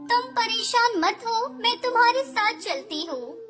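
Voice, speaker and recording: A woman's voice: extremely high pitch, expressive, unhurried. A woman voicing a small, earnest, kindly female bird with a childlike manner in a Hindi cartoon fable. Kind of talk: Hindi cartoon moral story dialogue. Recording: loud children's music under voice. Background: children's music.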